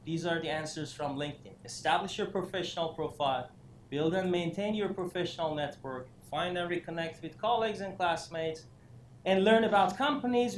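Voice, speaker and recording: A man raised in Iran giving a career workshop lecture, halting with many fillers and restarts, breaking into animated asides. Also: high-pitched. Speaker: career development instructor. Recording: echoey room, faint noise.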